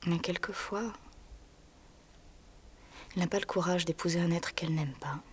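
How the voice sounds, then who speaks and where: An actress delivering a theatrical monologue in a hushed voice that often drops to a whisper, pausing as she tells a story. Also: fairly low pitch, a little hiss or hum.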